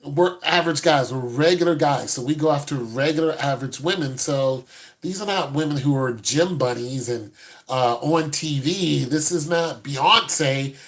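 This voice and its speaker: A man's voice: a little high, moderate pace. A man in his fifties, a host giving blunt, no-nonsense advice to a caller in casual chat. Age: 50s.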